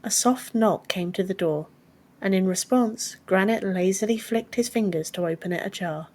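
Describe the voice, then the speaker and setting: A woman's voice: medium pitch, moderate pace, darkish tone. A woman reading scripted fiction as an audiobook narrator.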